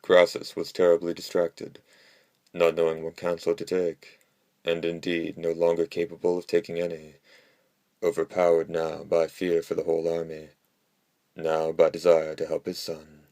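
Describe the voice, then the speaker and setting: Male audiobook narrator, low and extremely even, measured delivery for classical history. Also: dry recording.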